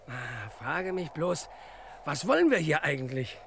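An actor playing an eager, devoted sidekick detective in a crime radio play parody, speaking in clipped telegram style. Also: male voice, on the high side, sing-song, unhurried, creaky, fairly bright tone, a little hiss or hum, exaggerated voice. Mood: puzzled, dutiful. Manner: clipped telegram-style sentences without pronouns.

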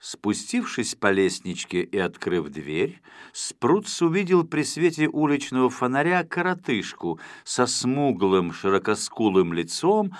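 A man narrating a children's audiobook with expressive, scripted storytelling. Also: medium pitch, unhurried, no echo, studio quality.

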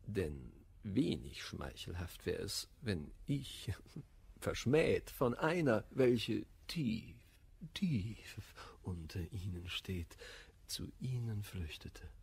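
An actor playing a proud, wounded idealist in love, declaiming classical German verse in a radio drama. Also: male voice, fairly low pitch, sing-song, vocal fry, darkish tone, slightly rough, full character voice. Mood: solemn, rueful. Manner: declamatory verse delivery, measured and emphatic.

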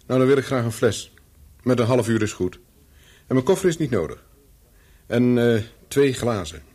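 An actor playing a wary, secretive English hotel guest in a radio play, telling his story in short, measured sentences with a husky voice. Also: lively intonation, darkish tone. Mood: polite, reserved. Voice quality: low, husky. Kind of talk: radio play, first-person narration with dialogue.

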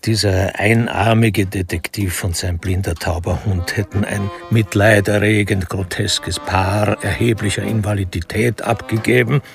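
A man narrating a crime radio play in a dry, deliberate, ironic way. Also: fairly low pitch, fairly even intonation, creaky. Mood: wry. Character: wry, deliberate male narrator of crime story. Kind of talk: scripted narration. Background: music.

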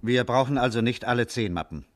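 An actor playing a businesslike police officer giving a factual, list-like report in a 1950s German radio crime drama. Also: male voice, medium pitch, a little fast, heavy background noise, close to an everyday voice.

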